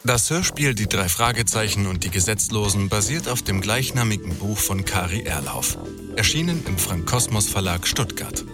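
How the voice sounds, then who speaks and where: A man's voice: lowish voice, animated, moderate pace, over music. A man reading scripted programme credits as an announcer.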